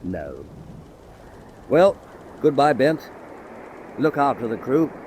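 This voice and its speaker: An actor playing a defiant, weary German U-boat captain in a radio drama, clipped and commanding. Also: male voice, very animated, takes it slowly, darkish tone. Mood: weary, stoic. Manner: clipped, commanding, bitterly stoic. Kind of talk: vintage-style radio drama acting. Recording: noisy track with vehicle-like rumble.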